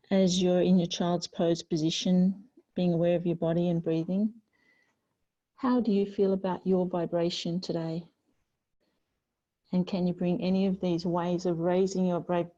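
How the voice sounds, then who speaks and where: A woman teaching a yoga class, giving gentle, unhurried guidance with pauses between phrases. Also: on the low side. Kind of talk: guided yoga class instruction. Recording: slightly dull, lo-fi sound.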